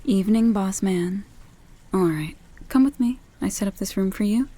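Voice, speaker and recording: A woman's voice: medium pitch, normal speed, mellow, slightly rough, natural voice. A woman playing a flippant, chatty maid in a vampire household for an ASMR roleplay, casual and offhand. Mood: sassy.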